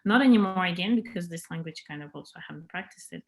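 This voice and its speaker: Russian-accented woman, hesitant and low. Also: lively intonation, fast. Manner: hesitant, searching for words with many fillers. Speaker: podcast guest. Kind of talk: casual interview chat.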